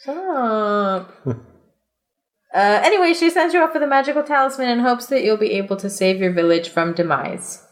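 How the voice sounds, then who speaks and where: A woman with a fairly high voice talks like a podcast host among friends. She is giggly and self-deprecating, slipping between reading a story aloud and jokey casual asides. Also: animated, normal speed. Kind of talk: casual comedic chat and read-aloud.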